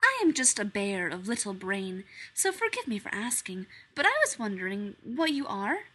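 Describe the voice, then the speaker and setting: A woman voicing a gentle, simple-minded male toy bear, polite and earnest. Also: medium pitch, very animated, normal speed, slightly rough, low-quality recording.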